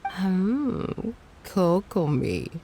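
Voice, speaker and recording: Lowish creaky woman shrine aide in a game, wry, dutiful, composed. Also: very animated, drawn-out words, dark tone, a little hiss or hum, heavily acted. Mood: teasing. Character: adult female shrine subordinate, wry, teasing yet dutiful. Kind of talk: video game voice acting.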